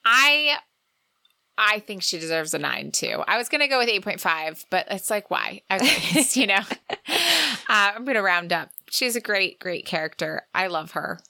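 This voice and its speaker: A woman's voice: mid-range voice, sing-song, a little slow, creaky, pushed and bright, no echo. A woman co-hosting a book podcast, chatting casually and with effusive enthusiasm. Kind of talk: casual book discussion chat.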